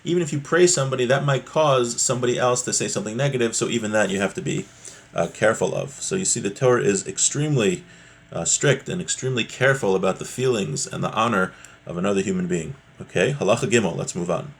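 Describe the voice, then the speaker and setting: A man's voice: fairly low pitch, fast, creaky, husky. A man giving an informal religious lesson as a teacher, explaining a text conversationally and checking in with rhetorical questions.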